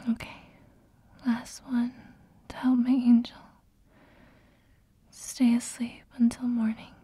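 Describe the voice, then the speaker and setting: A woman playing a tender, doting mother in a soft-spoken ASMR roleplay, with a breathy, whispery, soothing voice. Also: a little high, monotone, dry recording, heavily acted. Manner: slow, gentle, soothing. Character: tender doting mother soothing her sleepless loved one.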